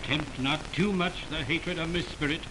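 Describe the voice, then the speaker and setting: A man's voice: on the high side, moderate pace, natural voice. An actor playing a scornful young man with stern, declamatory diction in 1920s radio Shakespeare. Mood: scornful, impatient. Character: scornful young man rejecting an unwanted admirer. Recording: noisy, hissing 1929 radio aircheck.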